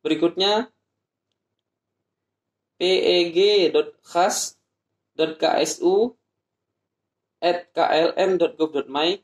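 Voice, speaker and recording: A man from Indonesia explaining an application process step by step in a vlog, spelling out addresses slowly. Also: high voice, reverberant. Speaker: vlogger.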